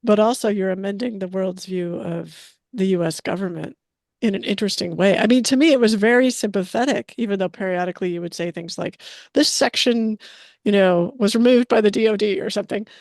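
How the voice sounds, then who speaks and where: Dry creaky-voiced woman host. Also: medium pitch, a little fast, darkish tone, dry recording. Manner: thoughtful, hesitant with fillers and restarts. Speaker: podcast co-host. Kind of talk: casual interview chat.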